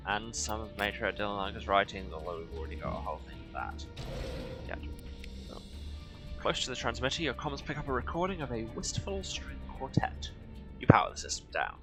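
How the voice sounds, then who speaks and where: A man's voice: fairly low pitch, very animated, fairly quick, music in the background. A man giving casual let's play commentary on a video game, with dry, wry asides muttered to himself. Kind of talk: casual game commentary, some reading aloud of game text.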